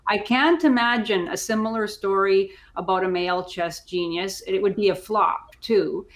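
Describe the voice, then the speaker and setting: A woman's voice: mid-range voice, normal speed, a little hiss or hum. A woman on a discussion panel giving her opinions conversationally, often repeating words as she thinks aloud.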